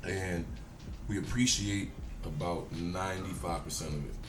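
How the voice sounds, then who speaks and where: Playful lower-voiced man in an echoey room. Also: steady tone, moderate pace. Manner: playful, teasing. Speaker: podcast co-host. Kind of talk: casual podcast chat. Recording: echoey room with faint noise.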